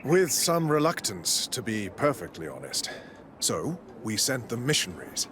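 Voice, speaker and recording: An actor playing a zealous, smooth-talking priest in a video game, formal, preachy and condescending. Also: male voice, mid-range voice, very animated, normal speed, background noise, natural voice. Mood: smugly patient. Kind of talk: scripted video game dialogue.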